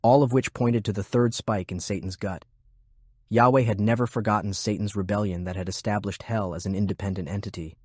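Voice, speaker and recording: Low male narrator reading a fantasy war story, measured, very dry close sound. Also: fairly even intonation, no echo. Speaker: audiobook narrator.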